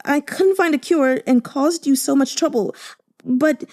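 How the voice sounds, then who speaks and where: A sobbing, pampered young man, put on by a woman reader pleads in a high, choked voice. He stammers and pushes the words out in breathless rushes. It sounds mellow and soft at the edges. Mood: sobbing pleading.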